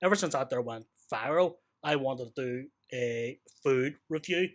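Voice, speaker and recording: A man's voice: medium pitch, moderate pace. A man with a Northern Irish accent, born in Hong Kong with Hakka as his first language, telling stories as a podcast guest. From born in Hong Kong, grew up in Northern Ireland. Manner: chatty storyteller, repeats "so it is" and "flipping". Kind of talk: casual chat.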